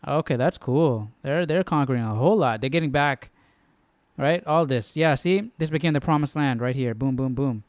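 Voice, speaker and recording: A man hosting a Bible study stream in casual, slangy chat, halting as he thinks aloud. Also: medium pitch, no room sound. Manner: informal, slangy, halting as he works things out.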